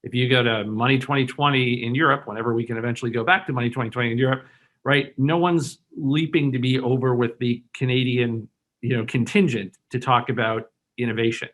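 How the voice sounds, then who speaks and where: A man's voice: mid-range voice, fairly even intonation, a little fast, fairly bright tone. Bank executive panelist, male, who grew up in Hong Kong: earnest, persuasive, stop-start delivery. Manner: earnest, persuasive, many restarts and fillers. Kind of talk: webinar panel discussion.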